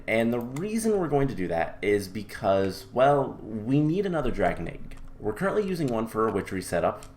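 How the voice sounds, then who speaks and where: Low-voiced man, slightly sick, chatty gameplay talk. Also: a little hiss or hum. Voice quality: a little off from illness. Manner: relaxed and chatty with many ums. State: coming down with a cold. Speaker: gameplay commentator. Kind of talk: casual let's-play commentary.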